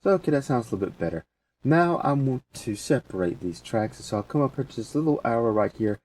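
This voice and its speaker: A man presenting a casual software tutorial, talking through each step as he goes in informal, folksy phrasing. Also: mid-range voice, expressive, fast. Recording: distant mic with faint background noise.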